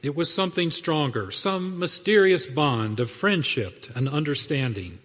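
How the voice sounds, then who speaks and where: A man's voice: a little high, animated, a little slow, a bit bright. A man narrating a western radio drama in a scripted, dramatic storytelling style. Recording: reverberant hall sound.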